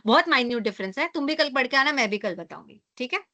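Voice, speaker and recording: A young woman teaching an online class, hoarse from losing her voice, who explains with rhetorical questions and a frequent tag question. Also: sits high, animated, fast, bright, forceful tone.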